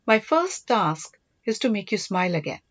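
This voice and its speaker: A woman's voice: medium pitch, sing-song, normal speed, lo-fi sound. A woman voicing a devoted, earnest young prince and older brother in an audiobook, reassuring and teasing. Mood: determined.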